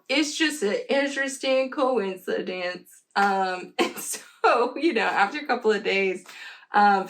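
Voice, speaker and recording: Female vlogger, mid-pitched with noticeable vocal fry, rambling and conversational, casual storytelling review delivery. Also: a bit bright.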